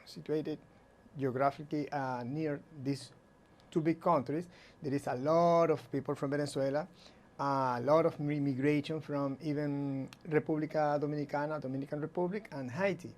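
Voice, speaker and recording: A middle-aged man from Aruba, explaining things haltingly in English as an interview guest. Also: on the high side, steady tone, a little mellow. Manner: halting, searching for English words. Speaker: visiting music teacher and guest.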